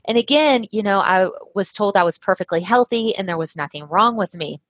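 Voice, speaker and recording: A woman's voice: mid-range voice, animated, a little fast, dry recording. Earnest woman telling her story. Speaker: guest, health practitioner. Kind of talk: conversational storytelling.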